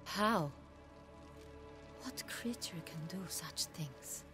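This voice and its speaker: An actress playing an imperious duchess in a video game, speaking in a formal, aristocratic manner. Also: female voice, lowish voice, unhurried, music in the background, close to an everyday voice. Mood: questioning. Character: regal, imperious female duchess. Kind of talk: video game cutscene acting.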